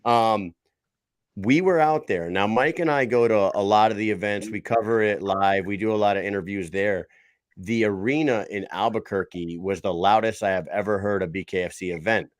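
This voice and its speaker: A man's voice: lowish voice, normal speed, no echo, clean studio sound. A man hosting a casual fight-talk show, chatty and rambling, often restarting his sentences. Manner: chatty, rambling, self-correcting. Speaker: host.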